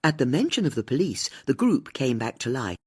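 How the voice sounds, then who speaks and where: Husky, expressive woman narrating a mystery. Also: rumbling low, a little fast. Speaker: audiobook narrator.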